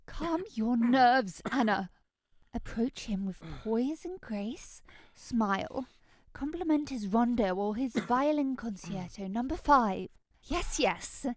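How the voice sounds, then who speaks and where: A woman's voice: a little high, darkish tone, no echo. A woman playing an eager, haughty young society lady in an audio drama, with prim, old-fashioned theatrical diction.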